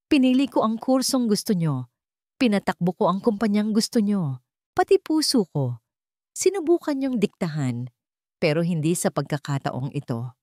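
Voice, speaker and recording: A woman's voice: medium pitch, warm tone, no echo, clean studio sound, pitched above the performer's own voice, close to an everyday voice. A woman voicing a defiant, anguished wealthy son and executive in an audio drama, with a Filipino accent and emphatic declarations.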